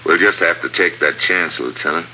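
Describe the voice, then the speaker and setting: An actor playing a tough, wisecracking American secret agent in a wry, clipped 1950s radio adventure style. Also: male voice, sits low, normal speed, bright, forceful tone, exaggerated voice. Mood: brisk, confident. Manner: wry, clipped, hard-boiled. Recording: noisy old radio drama recording.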